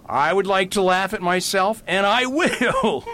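An actor playing a mild, whimsical priest detective in a radio drama, musing aloud with theatrical emphasis. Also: male voice, unhurried, pushed and bright. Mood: amused, excited. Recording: faint rumbling background noise.

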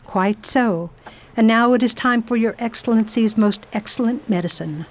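A woman's voice: on the high side, natural voice. Woman as a firm lady traveler. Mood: brisk, commanding. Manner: brisk, commanding. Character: firm, brave lady traveler nursing a patient. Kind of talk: radio drama acting.

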